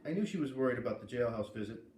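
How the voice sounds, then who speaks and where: A man's voice: mid-range voice, lively intonation, rapid-fire, darkish tone. Man reading aloud in an echoey room. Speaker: read-aloud host. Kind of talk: storybook narration with casual asides. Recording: echoey room, distant mic, faint noise.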